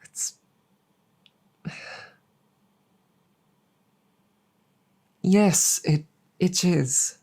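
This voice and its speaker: A woman voicing a proud but embarrassed female dragon, tender toward a human partner, in an intimate roleplay audio drama, speaking formally and haltingly with a breathy voice. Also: deep voice, darkish tone. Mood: flustered, reluctant. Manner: formal, flustered, halting with repeated words.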